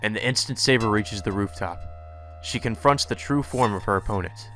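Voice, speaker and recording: A man reading visual novel narration aloud for a let's play, in a deliberate, dramatic manner. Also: lowish voice, a bit bright, slight background noise.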